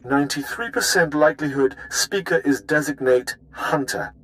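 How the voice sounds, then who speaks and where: An actor playing an emotionless intelligence analyst who recites data in a clipped, deadpan way, like a computer, in a video game. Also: male voice, a little high, normal speed, natural voice, low-quality recording. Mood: detached.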